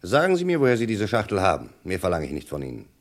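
A man's voice: low voice, wildly swooping pitch, fast, heavily acted. A man playing a composed, insistent police commissioner in a German radio crime play, speaking formally and with measure. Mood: stern, pressing. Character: dogged, composed male police commissioner in imperial Austria.

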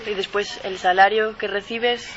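A woman's voice: mid-range voice, lo-fi sound. Chatty young woman hosting radio. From Spain. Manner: relaxed, chatty, with quick agreeing murmurs. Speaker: radio host. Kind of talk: casual radio interview.

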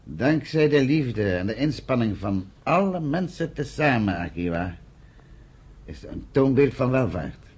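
An actor playing a pragmatic militia leader in a radio play, a man speaking in a deliberate, persuasive way. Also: mid-range voice, sing-song, darkish tone, husky. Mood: reassuring. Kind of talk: Dutch radio play acting.

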